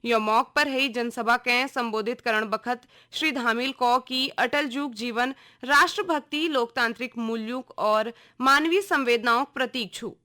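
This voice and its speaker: A woman with a Kumaoni accent reading a scripted regional radio news bulletin in a formal, measured announcer's delivery. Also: on the high side, pushed and bright.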